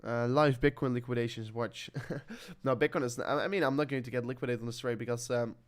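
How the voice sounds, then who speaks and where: A man's voice: mid-range voice, steady tone, fast. A man in his twenties talking in a rambling, motivational vlog monologue. He fills with "I mean" and "like", repeats phrases and corrects himself often. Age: 20s. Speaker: crypto YouTuber.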